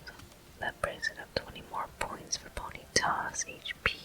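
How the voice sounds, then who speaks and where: A person whispering softly and breathily into a close microphone, narrating gameplay step by step in an ASMR style. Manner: soft, unhurried, gently narrating each step. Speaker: gaming video host. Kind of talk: ASMR whispered game commentary.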